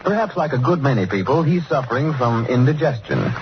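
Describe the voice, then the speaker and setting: A man's voice: mid-range voice, big pitch swings, a little fast. A male radio announcer delivering scripted 1930s commercial copy and sign-offs. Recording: dull 1930s radio recording, music behind.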